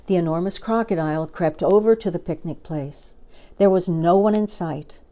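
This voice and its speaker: A woman storyteller reads a children's book aloud. Her voice sits fairly low, and she narrates expressively. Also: normal speed, dark tone.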